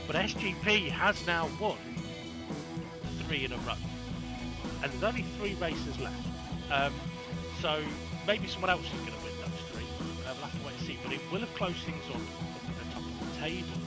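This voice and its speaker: A man commentating on an online sim race, chatty and excitable, with lots of ums. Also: on the high side, moderate pace, poor audio. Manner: excitable, chatty, with many fillers. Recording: loud music under the voice.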